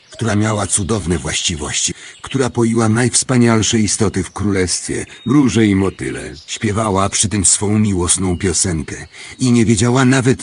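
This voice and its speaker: Storybook radio drama: tired master storyteller male actor, lowish register, measured delivery, some background noise. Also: natural voice. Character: tired male hour-spirit storyteller, master of a castle chamber. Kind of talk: storybook radio play narration.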